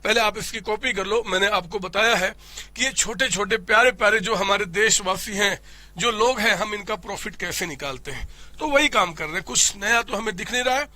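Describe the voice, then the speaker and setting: A man from India teaching an accounting class in a playful, animated way, hoarse from a cold. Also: high voice, a little fast, dry recording. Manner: animated, playful, addresses students as "my child"; voices students' questions himself. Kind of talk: classroom lecture.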